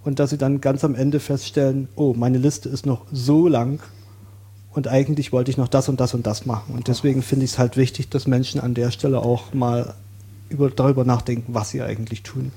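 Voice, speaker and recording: A man from Saxony, a guest on an interview podcast, explaining his views in a thoughtful, measured way. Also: mid-range voice, flat delivery, mellow, husky. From Saxony, Germany.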